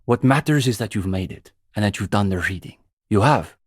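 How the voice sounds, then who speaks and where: A young student revolutionary for a video game, earnest and self-important. His voice sits fairly low with a husky grain, recorded close and dry. He speaks like a bookish intellectual, precise and given to lecturing. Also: animated, fairly quick, no room sound. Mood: guarded, skeptical. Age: young adult. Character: earnest young male communist student, pompous intellectual zealot. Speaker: student leader of a revolutionary reading group. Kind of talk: video game character dialogue.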